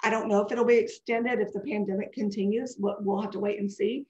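A woman's voice: mid-range voice, steady tone, fairly quick, darkish tone. A woman in her forties giving a live webinar over a video call. She talks in a chatty, digressive way, and her voice sounds congested and scratchy. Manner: chatty and digressive, answering questions. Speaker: webinar presenter. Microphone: webcam video call. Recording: dull echoey video-call audio.

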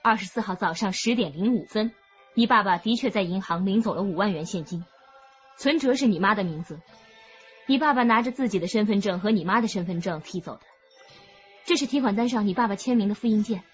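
A woman's voice: medium pitch, slightly rough, lo-fi sound, soft background music, lightly acted. An actress playing a composed, methodical policewoman with an authoritative delivery in a radio drama. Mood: calm, factual. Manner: measured, authoritative. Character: composed, methodical female police officer presenting evidence.

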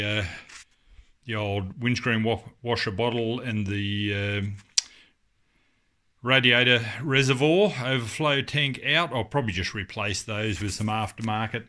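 A middle-aged man talking casually through a car restoration in his home garage, thinking aloud with many pauses and ums. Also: lowish voice, pushed and bright. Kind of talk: casual workshop commentary. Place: home garage workshop, indoors.

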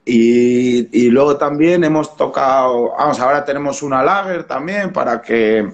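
A man's voice: mid-range voice, normal speed, lo-fi sound. A man in his thirties with a Spanish accent, a brewery founder talking as a guest over a video call from a small room at home. He speaks casually, in a rambling way with many restarts. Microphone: webcam or laptop mic on a video call.

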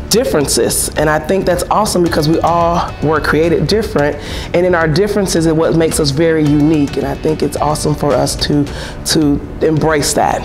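An enthusiastic woman teacher answers interview questions at school with emphatic delivery. Music plays clearly behind her. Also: deep voice. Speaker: family and consumer science teacher. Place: indoor room at school.

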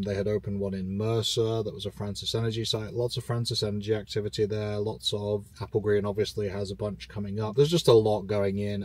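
A man's voice: on the low side, a little mellow, dry recording. A man in his thirties, host of an EV channel, talking casually and informatively from a parked car, with many fillers. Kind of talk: casual road-trip commentary.

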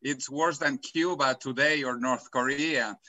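A man's voice: medium pitch, unhurried, no echo. A middle-aged man from Venezuela, speaking emphatically as a guest on a video call panel. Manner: emphatic, animated hand gestures. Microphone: webcam on video call.

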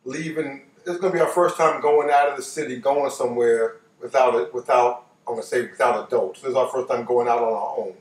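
A man in his late fifties with a New York accent, telling a personal story casually in an animated way, repeating phrases for emphasis. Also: medium pitch, brisk, few pauses. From New York, United States. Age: late 50s. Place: living room, seated on a couch. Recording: roomy, voice distant from microphone.

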